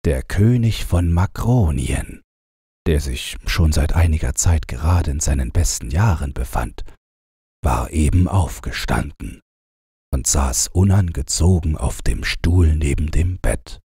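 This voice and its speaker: A man narrating fairy tales as a scripted audiobook, in a measured, expressive storytelling manner. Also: rumbling low, husky, no room sound, studio quality.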